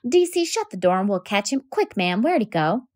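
A woman reading aloud, voicing a kind, polite young schoolboy who speaks gently and folksy. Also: medium pitch, sing-song, moderate pace, close to an everyday voice. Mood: urgent.

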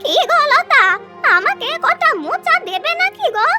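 A woman voicing a scheming old village beggar woman in a cartoon, with a slow, drawn-out, wheedling delivery. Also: very high voice, very animated. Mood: coaxing. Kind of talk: children's cartoon voice acting. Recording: loud music behind the voice.

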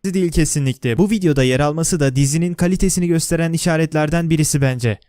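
Turkish male explainer narrator, scripted read, higher-than-average voice, dry recording. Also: quick pace, a little mellow, no echo. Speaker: video narrator.

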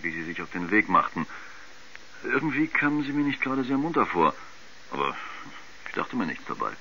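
Male astronaut, seventies radio drama, deliberate recounting, very sharp tone, dull recording. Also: deep voice, full character voice. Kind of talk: 1970s German radio drama acting. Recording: dull, faintly noisy 1970s radio recording.

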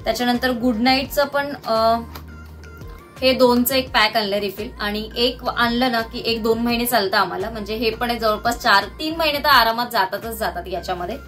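A woman speaks in a fairly high voice. She talks casually to her viewers, going through things one by one in an explanatory way. Faint music plays behind her as she records in a room at home. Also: normal speed, fairly bright tone. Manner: explanatory, itemising. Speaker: vlogger. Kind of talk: casual product haul chat to viewers. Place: small indoor room at home, seated on the floor.

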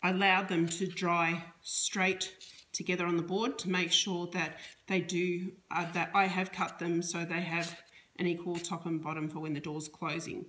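A middle-aged woman with a slightly low voice talks casually through a cake decorating tutorial. She explains each step in a chatty way, often restarting her sentences. She is recorded in her home kitchen by a camera sitting on the counter. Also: little pitch movement, moderate pace, a bit bright. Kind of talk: casual how-to demonstration.

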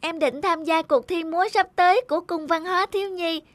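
A woman's voice: very high-pitched, fairly even intonation, fairly quick, a bit bright, no echo. A woman voicing a spirited little sister in a children's cartoon, childlike and petulant. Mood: eager. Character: pouting, spirited little girl, younger sister. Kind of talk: animated children's cartoon acting.

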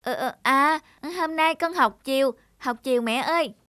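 A woman voicing a lazy, excitable teenage schoolboy in a cartoon. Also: high-pitched, moderate pace, dry recording, clean studio sound. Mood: sly, amazed. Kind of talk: cartoon dubbing.

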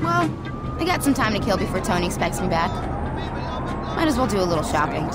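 A woman's voice: medium pitch, sing-song, brisk, loud music behind the voice, a loud crowd, natural voice. An actress playing a gangster's bossy, self-absorbed girlfriend in a video game. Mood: bossy, casual. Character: haughty, scheming gangster's girlfriend. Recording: loud city traffic and engine noise.

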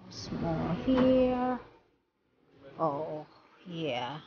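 A woman's voice: sits low, big pitch swings, very slow, lo-fi sound, background noise. A woman chatting casually as she vlogs from a car and then shows viewers around a store. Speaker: vlogger. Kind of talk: casual travel vlog chat. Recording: loud music over the voice.

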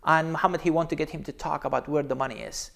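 Middle aged pastor guy, arabic accent, really creaky gravelly voice, explaining stuff emphatically. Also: fairly high pitch, fairly even intonation, fast talker, a bit bright. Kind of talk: explanatory talk to camera. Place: small indoor room, seated.

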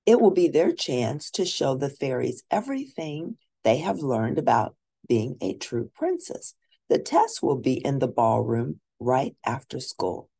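Low-voiced woman reading a storybook, very animated. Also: creaky, breathy edge. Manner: expressive, drawn-out emphasis for young listeners. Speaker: storybook reader. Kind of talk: animated children's storybook read-aloud.

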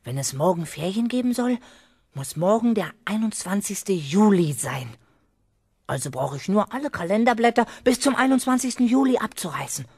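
An actor playing a cocky, scheming schoolboy who talks boastfully and emphatically in a 1970s children's radio play. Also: male voice, high-pitched, lively intonation, moderate pace, a little mellow, breathy edge, no room sound, clean studio sound, full character voice. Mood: scheming, pleased. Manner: boastful, emphatic, self-assured. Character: cocky, scheming young schoolboy with big fantasies.

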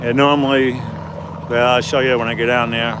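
A man's voice: medium pitch, little pitch movement, fairly quick. A man with a Maine accent chatting casually while filming on a snowy driveway outdoors, recorded on a handheld phone. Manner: offhand, chatty commentary. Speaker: vlogger filming. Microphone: handheld phone camera. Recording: loud engine noise outdoors. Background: running truck engine.

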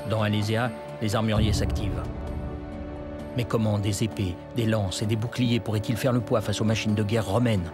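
A man reading scripted historical documentary narration in a measured, dramatic way. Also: fairly low pitch, steady tone. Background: loud music.

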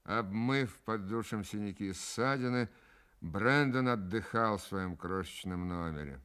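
A man's voice: fairly low pitch, unhurried, fairly bright tone, clear, resonant tone. A man giving scripted dramatic narration for a radio play. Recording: music behind the narration.

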